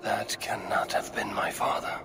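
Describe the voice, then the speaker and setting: An actor playing an earnest Viking warrior in a video game, speaking with intense, halting wonder over music. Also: male voice, lightly acted. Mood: troubled. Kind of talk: dramatic video game acting.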